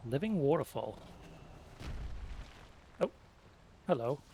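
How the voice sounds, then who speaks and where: A man's voice: fairly high pitch, big pitch swings, slow, mellow, a little hiss or hum. A man making offhand, sparse remarks to himself as casual commentary while playing a game. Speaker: let's play gamer. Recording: clear game music behind voice. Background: game music and sounds.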